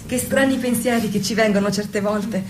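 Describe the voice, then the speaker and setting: Wistful, faithful young wife played by an actress, radio play, earnest and plaintive, mid-pitched, slight background noise. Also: female voice, steady tone, moderate pace, natural voice. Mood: wistful, musing. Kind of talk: stage-play dialogue with snatches of song.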